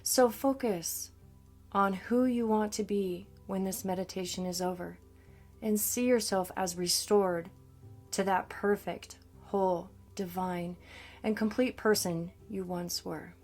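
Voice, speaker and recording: A woman's voice: mid-range voice, fairly even intonation, unhurried, a little mellow. Woman guiding a meditation over music. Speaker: meditation coach. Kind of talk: scripted guided meditation narration. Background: background music.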